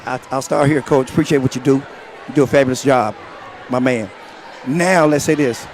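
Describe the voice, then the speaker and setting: A raspy, hoarse man, a basketball coach at halftime. He talks in an upbeat, emphatic way at a middling pitch, with steady gym noise all around him. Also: moderate pace. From West Tennessee. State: hoarse from shouting. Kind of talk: halftime interview. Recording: noisy gym with crowd ambience.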